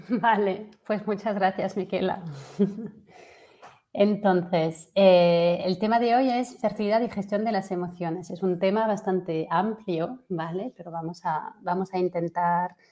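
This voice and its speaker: A woman's voice: mid-range voice, fairly even intonation, a little slow, mellow. A French woman in her forties, a fertility coach giving a webinar talk over a video call, hesitant with many fillers and restarts. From France. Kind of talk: webinar presentation.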